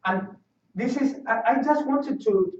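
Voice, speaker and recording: A man from Argentina with an Argentine Spanish accent, a Spanish speaker giving a technical presentation at a meeting, halting and repeating words as he searches for them. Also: extremely high pitch, a little mellow. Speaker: guest speaker. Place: meeting room. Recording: roomy, distant, dull sound.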